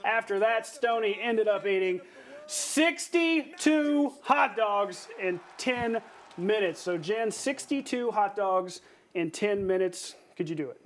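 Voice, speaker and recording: A man anchoring a television sportscast in a playful, conversational way, reading highlights and bantering with a co-host. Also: animated, slow, a little hiss or hum. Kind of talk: upbeat scripted sportscast narration with banter.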